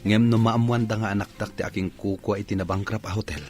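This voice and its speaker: A man's voice: on the low side, steady tone, fast talker, music in the background, close to an everyday voice. A man acting a proud young job-hunter from a fallen hotel family in an Ilocano radio drama. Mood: earnest, pleading.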